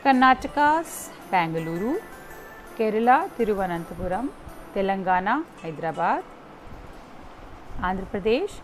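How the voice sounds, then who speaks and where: A woman's voice: medium pitch, big pitch swings, moderate pace, pauses often, soft background music. A woman teaching young children in an online class. She reads from a textbook and explains it patiently, step by step.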